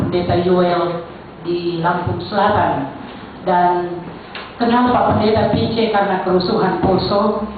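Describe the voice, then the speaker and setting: A middle-aged woman from Central Sulawesi with a Sulawesi accent, chair of a church council, giving a conversational testimony to a congregation. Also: medium pitch. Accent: Sulawesi Indonesian. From Central Sulawesi, Indonesia. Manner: conversational, addressing the congregation directly. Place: church hall. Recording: echoey church hall, distant mic, phone-like band, faint noise.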